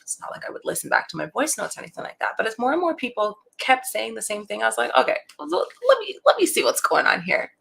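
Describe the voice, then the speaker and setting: A woman guest in a casual interview, talking about her work with a relaxing voice and an animated, laughing way of telling stories. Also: a little high, fast talker, gravelly, hardly any pauses, breathy edge. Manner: warm, animated storyteller who laughs often. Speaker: interview guest, voice actor and creative director.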